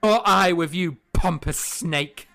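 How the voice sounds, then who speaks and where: A man's voice: high voice, slow pace, gravelly, pushed and bright, faint music behind, full character voice. A man playing a proud, fiery young eighteenth-century composer in a period audio drama, speaking formally and emphatically with theatrical flair. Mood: defiant.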